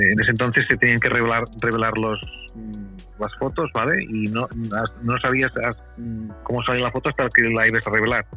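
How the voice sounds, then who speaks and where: A man's voice: medium pitch, sharp tone, slightly rough, rough recording. A man telling a story as a guest, rambling along with stumbling restarts. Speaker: guest photographer. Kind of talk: casual storytelling.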